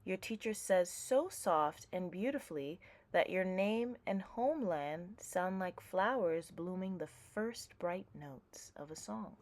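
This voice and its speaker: A woman reading a children's picture book aloud, with deliberate, expressive, measured phrasing. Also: lowish voice. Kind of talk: scripted read-aloud narration for young children.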